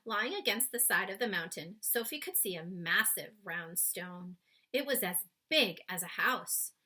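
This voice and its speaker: A woman reading a children's story aloud expressively, with dramatic pauses, speaking to young listeners. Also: medium pitch, sharp tone.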